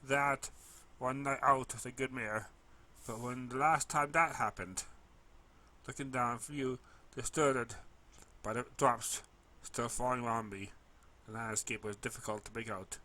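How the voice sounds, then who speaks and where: A man reads a first-person story as its narrator. His mid-range voice is bright and forceful, and he delivers the lines expressively, occasionally stumbling over a word. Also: mid-range voice, steady tone, unhurried.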